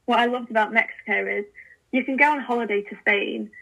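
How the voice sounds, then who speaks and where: A chatty young woman guest on a podcast, talking eagerly with frequent fillers and restarts. Her voice is bright and forward, with a flat, even pitch. It comes through thin, like a phone call. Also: fairly quick, vocal fry. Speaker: podcast guest, language learning founder. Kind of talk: casual interview chat.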